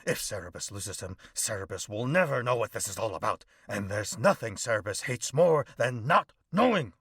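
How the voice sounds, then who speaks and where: A performer voicing a grumpy male warrior who talks about himself in the third person, sardonic and deadpan. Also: medium pitch, moderate pace, husky, no echo, clean studio sound. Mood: grumbling.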